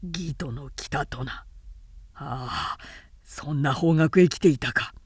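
A woman's voice: deep voice, takes it slowly, slightly rough, dry recording, studio quality, voice pushed down, heavily acted. A woman playing a proud, commanding male warlord. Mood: weary realisation. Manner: curt, authoritative, archaic lordly speech.

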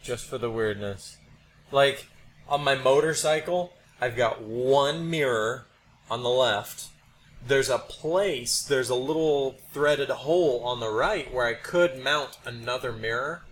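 A man's voice: mid-range voice, slow pace, a bit bright. A man co-hosting a podcast in casual conversation, telling anecdotes in a wry way. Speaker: podcast co-host. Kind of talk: casual chat. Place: small room, podcast setup. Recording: noisy podcast recording.

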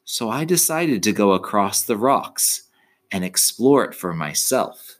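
A courteous old female alley cat from a children's storybook, voiced by a man. The voice sits fairly low and moves with lively, swinging pitch. The cat speaks like a seasoned traveler, gentle and wistful. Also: unhurried. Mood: reminiscing.

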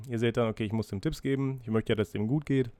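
Ein erkälteter Mann spricht frei und nachdenklich in seinem Podcast, reflektiert über sich selbst, mit Zögern und Füllwörtern. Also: male voice, mid-range voice, a little fast, darkish tone, dry recording. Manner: freely spoken, musing, self-reflective with hesitations. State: has a cold, breathing heavily. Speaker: podcast host. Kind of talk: casual solo podcast monologue.

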